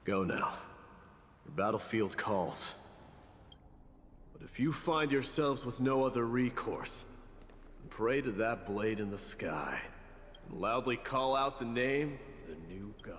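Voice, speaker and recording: Solemn warrior lord, cavernous reverb. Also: male voice, mid-range voice, expressive, fairly bright tone. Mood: solemn command. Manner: solemn, formal, deliberate. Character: grave, commanding warrior lord giving solemn orders. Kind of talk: video game character acting. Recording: long echoing reverb, noisy ambience.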